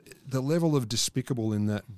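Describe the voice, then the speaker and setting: An Australian man co-hosting a political podcast, sarcastic and deadpan in his jabs. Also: medium pitch, fast, dry recording, clean studio sound. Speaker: podcast co-host. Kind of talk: casual political chat.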